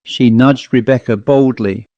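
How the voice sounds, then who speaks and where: A male audiobook narrator reads literary fiction in a measured, formal way. His pitch is medium and the sound is very dry and close. It is scripted storytelling throughout. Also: steady tone, dark tone, no echo, dull audio.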